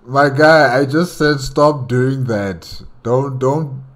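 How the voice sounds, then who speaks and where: A man's voice: mid-range voice, steady tone, takes it slowly, faint music behind. A man hosting a reaction video, giving casual, conversational commentary and repeating himself for emphasis.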